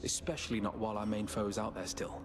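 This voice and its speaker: A man's voice: fairly low pitch, little pitch movement, fast talker, close to an everyday voice. A man voicing an easygoing, encouraging young god in a video game, speaking in casual, informal phrases. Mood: relieved, encouraging. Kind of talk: scripted video game voice acting. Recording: music behind the voice.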